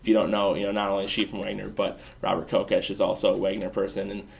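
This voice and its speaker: A man's voice: lowish voice, fast, vocal fry. A man with a Midwestern American accent hosting a sports show, bantering through a casual quiz in a rambling, self-deprecating way. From Sioux Falls, South Dakota, USA. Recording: steady background noise.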